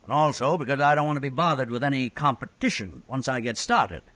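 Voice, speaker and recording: A man's voice: mid-range voice, expressive, a little fast, a bit bright, no room sound, close to an everyday voice. An actor playing a genial, scheming frontier businessman in a 1950s radio western, affable and overly polite. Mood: glib.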